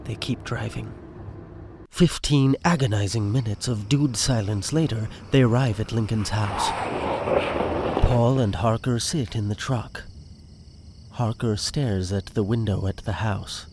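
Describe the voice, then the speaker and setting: Unhurried male drama narrator. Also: lowish voice, a little mellow, slight background noise. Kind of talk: scripted audio drama narration. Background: music.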